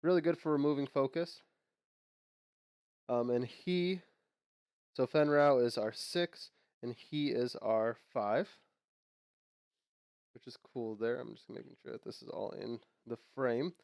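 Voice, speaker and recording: A man's voice: mid-range voice, unhurried, vocal fry, long pauses, darkish tone, smooth, dry recording, clean studio sound. A young man hosting his own channel, sounding nasal from a cold, chatting casually and rambling with fillers and restarts. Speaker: host. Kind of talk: casual unboxing and lore talk.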